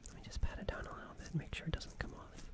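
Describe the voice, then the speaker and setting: A performer playing a gentle, caring alien in an ASMR roleplay, speaking in a breathy, soothing whisper with some hesitation. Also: rapid-fire, natural voice. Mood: caring, attentive. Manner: soft, hesitant, soothing, repeats reassurances. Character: gentle caring alien tending a crash-landed human.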